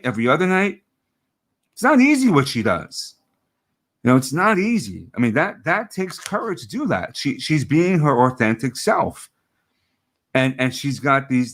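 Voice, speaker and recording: A middle-aged man with a low voice, a coach giving an earnest motivational talk over a video call, often restarting and repeating words.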